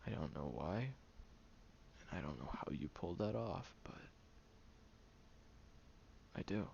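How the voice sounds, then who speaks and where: Man acting a guarded young male loner, very low creaky voice, sardonic, hesitant, intimate audio roleplay, muffled hissy recording. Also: animated, unhurried, darkish tone, heavily acted. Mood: reluctantly vulnerable. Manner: sardonic, hesitant, sighing, often dropping to breathy murmurs. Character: prickly young male loner, guarded, grudgingly caring friend. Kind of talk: audio roleplay, intimate one-sided dialogue. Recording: muffled, lo-fi, noticeable background hiss.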